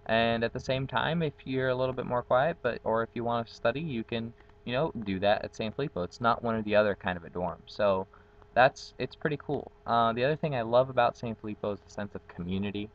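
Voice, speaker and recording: A man's voice: medium pitch, brisk. Chatty young man on a lo-fi webcam. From Guatemala. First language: Spanish. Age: young adult. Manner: chatty, upbeat, many ums. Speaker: college dorm community facilitator. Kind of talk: casual self-introduction vlog. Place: outdoors on a patio with trees. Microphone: built-in webcam mic, close framing.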